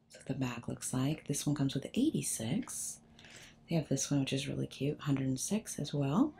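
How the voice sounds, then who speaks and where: A woman's voice: extremely low pitch, animated, normal speed, creaky, dark tone, slightly rough. An older woman chatting casually as she films herself browsing a store aisle, often dropping to a whisper. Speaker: shopping vlogger. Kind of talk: casual shop-with-me narration. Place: large discount store aisle. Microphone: handheld phone camera.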